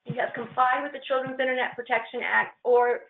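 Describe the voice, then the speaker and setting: A woman presenting on a training call, walking informally through a procedure step by step in a halting, hesitant way. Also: a little high, steady tone, vocal fry. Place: conference call with shared screen. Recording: roomy, muffled conference-call line.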